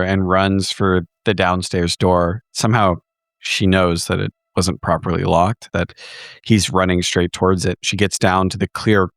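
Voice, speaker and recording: A man's voice: low voice, fairly bright tone, no room sound, studio quality. A man playing a tabletop horror game, narrating his character's actions in a dramatic, descriptive way.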